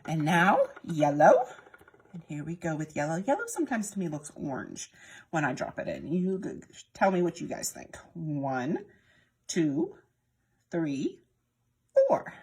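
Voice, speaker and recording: Woman leading storytime, very lively pitch. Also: unhurried, pauses often. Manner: sing-song, prompting young viewers with questions. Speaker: children's storytime presenter at a library. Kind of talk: children's lesson with repetition and demonstration.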